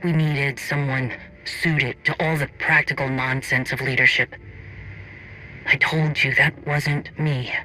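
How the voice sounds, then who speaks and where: A man's voice: medium pitch, level tone, slow, pushed and bright, poor audio. A man acting an earnest, resolute Mandalorian clan leader in a video game cutscene, solemn and determined. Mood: rueful, frank.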